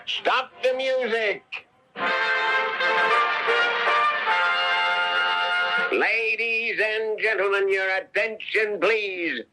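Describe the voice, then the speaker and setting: An actor playing a brash, scheming puppet-show master with declamatory barker patter in a radio drama. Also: male voice, high-pitched, takes it slowly, rough recording. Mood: booming patter. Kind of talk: old-time radio drama acting. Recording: 1970s radio broadcast, music behind.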